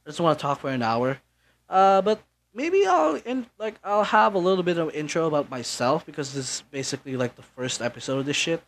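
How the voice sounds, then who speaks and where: A man's voice: fairly high pitch, big pitch swings, brisk. A young man from the Philippines hosting his own podcast in casual solo chat, rambling with many fillers and restarts. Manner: offhand, mildly profane. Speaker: podcast host.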